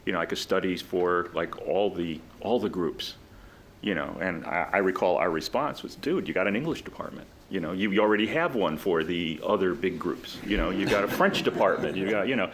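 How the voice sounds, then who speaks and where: Deep-voiced man on a panel. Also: animated, fairly quick, a bit bright. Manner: conversational, many "you know" fillers. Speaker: alumni panelist. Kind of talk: reflective panel discussion. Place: college event room.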